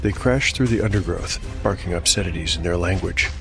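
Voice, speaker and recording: A man's voice: sits low, fairly quick, gravelly. A man hosting and narrating a fantasy game audio drama in a scripted storytelling style. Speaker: podcast host, game master and narrator. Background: music bed.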